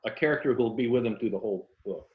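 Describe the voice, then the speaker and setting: A man's voice: medium pitch, fast talker. An American man, a writer speaking as an interview guest in a wry, self-deprecating way, on an indoor video call. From Tampa, Florida, USA. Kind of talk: casual conversation, then reading own novel excerpt aloud. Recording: roomy, muffled video-call audio.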